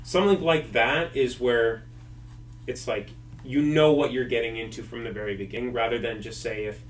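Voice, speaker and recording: A man's voice: mid-range voice, normal speed. A young man hosting a casual podcast, speaking loosely and filling with "like" and "you know". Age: young adult. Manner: relaxed, lots of "like" and "you know". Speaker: podcast host. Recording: distant mic with faint hum.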